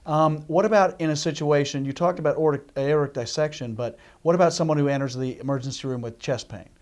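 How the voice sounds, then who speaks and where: A middle-aged man hosting a medical interview, conversationally recapping and circling his way into questions with a halting delivery. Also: on the high side, darkish tone. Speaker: interview host, doctor.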